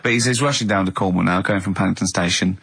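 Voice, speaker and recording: A man's voice: low voice, quick pace, gravelly, a bit bright, husky. A man hosting a casual comedy radio show, teasing and asking questions in an incredulous way.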